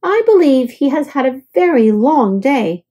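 A woman's voice: high-pitched, big pitch swings, measured pace, warm tone, slightly rough, full character voice. A woman voicing a polite, helpful male bellhop in a storybook, courteous and apologetic. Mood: polite, soothing. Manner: courteous, solicitous.

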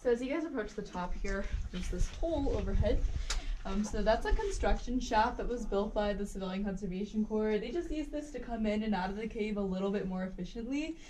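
A woman's voice: medium pitch, brisk, warm tone, slight background noise. Female cave guide, chatty and informative, distant echoey cave sound. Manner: chatty and informative, light laughs. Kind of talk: guided tour talk to a group. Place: inside a large cave. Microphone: camera held by a visitor some distance away.

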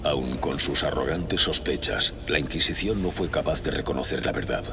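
An actor playing a noble chapter master of space marines in a video game, speaking formally and ceremoniously. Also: male voice, extremely low pitch, moderate pace, vocal fry, noisy background. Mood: earnest, firm. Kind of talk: solemn video game cutscene acting. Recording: loud music behind. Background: loud cinematic music.

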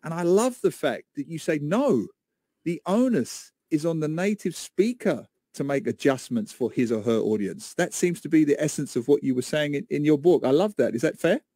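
A man's voice: a little high, expressive, moderate pace, warm tone, no room sound. A man co-hosting a radio interview in a studio, speaking with emphatic enthusiasm. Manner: enthusiastic, emphatic, repeats words. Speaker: radio co-host. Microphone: studio microphone with headphones.

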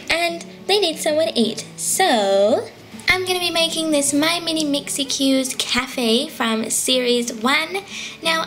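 A woman's voice: high-pitched, a bit bright. A young woman hosting a toy channel, chatting cheerfully through a casual unboxing. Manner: bubbly, chatty, cutesy.

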